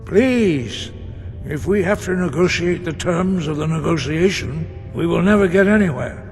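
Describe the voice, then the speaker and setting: An actor playing a weary, disapproving elderly monk elder, solemn and measured, in a fantasy game. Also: male voice, a little high, lively intonation, creaky. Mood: patient, urging. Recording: prominent game music behind.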